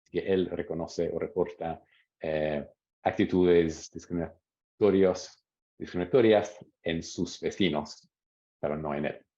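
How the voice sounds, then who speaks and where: Low-voiced man giving a seminar talk. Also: fairly even intonation, dark tone. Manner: measured, reads quotes from interviews. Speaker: academic presenter. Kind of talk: seminar research presentation. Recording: dull lo-fi audio.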